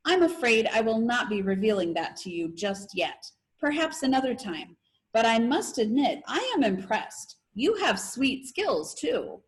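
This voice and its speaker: A woman's voice: on the high side, big pitch swings, measured pace. A woman voicing a polite, earnest boy magician with formal, precise wording in a storybook reading.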